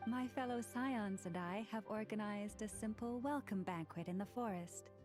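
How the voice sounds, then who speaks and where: A woman voicing a gracious, devout priestess in an anime game, speaking in a formal, measured, reverent way. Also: mid-range voice, over loud music, close to an everyday voice. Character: gracious, devout priestess welcoming her goddess.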